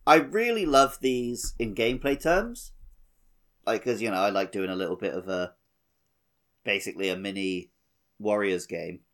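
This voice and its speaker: Male gaming podcast co-host, lower register, conversational and analytical, informal phrasing. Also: animated, moderate pace, pauses often, fairly bright tone. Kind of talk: casual gaming podcast chat.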